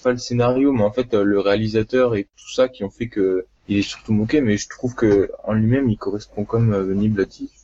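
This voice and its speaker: A man on a casual panel, speaking haltingly with fillers. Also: on the low side, fairly even intonation, warm tone. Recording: dull lo-fi sound.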